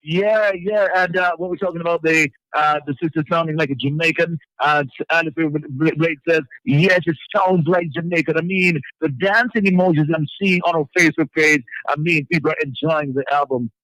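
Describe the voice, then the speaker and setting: A male radio host chatting casually and reading out listener messages, halting and often repeating his words. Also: fairly high pitch, steady tone, fairly bright tone, no room sound, low-quality recording.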